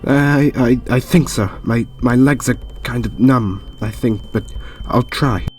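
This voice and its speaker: Guy playing bewildered entranced man, stammers a lot, bit distant from mic, music loud behind. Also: mid-range voice, measured pace, natural voice. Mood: dazed, confused. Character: dazed, grieving man entranced by a ghost. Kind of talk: horror audio drama acting.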